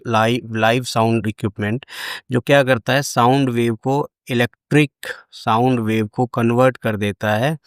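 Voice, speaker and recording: A man's voice: fairly low pitch, clean tone, no room sound, clean studio sound. A man with an Indian accent giving an explanatory lecture as a teacher, switching between two languages and restating his points. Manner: repeats phrases in both languages. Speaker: electronics lecturer. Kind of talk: explanatory lecture mixing Hindi and English.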